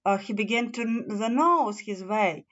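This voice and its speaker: A woman's voice: mid-range voice, normal speed, fairly bright tone. A woman reads a children's fairy tale aloud as a storybook narrator, stumbling now and then over her words. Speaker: storybook reader. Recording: slightly dull, lo-fi sound.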